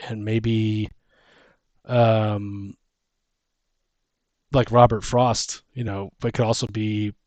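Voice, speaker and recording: A man co-hosting a casual chat, brainstorming fantasy lore in a halting, thinking-out-loud way. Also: on the low side, flat delivery, no echo. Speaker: podcast co-host.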